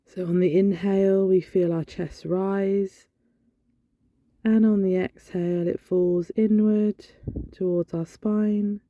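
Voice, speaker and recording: A woman leading a guided body scan meditation in a gentle, soothing, instructional manner. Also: medium pitch, flat delivery, slow. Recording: dull, lo-fi, roomy echo.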